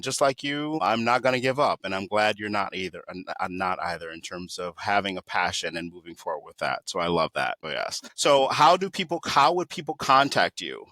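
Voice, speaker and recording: A man's voice: sits low, sharp tone, no echo. A man hosting a podcast interview, a life coach who talks in an affirming way, full of fillers.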